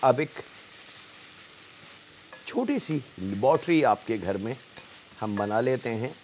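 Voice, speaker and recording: Male TV cooking host, Pakistani Urdu accent, middle pitch, patient step-by-step explainer, light frying sizzle behind. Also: animated, slow pace, darkish tone, a little hiss or hum, poor audio. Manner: addresses viewers politely as janab, explains step by step.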